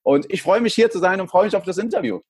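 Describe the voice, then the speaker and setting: A man's voice: high-pitched, expressive, fast talker, darkish tone, slightly rough, no room sound. A man in his thirties, raised in El Salvador, chatting as an interview guest and often repeating his words. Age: 30s. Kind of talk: casual interview talk.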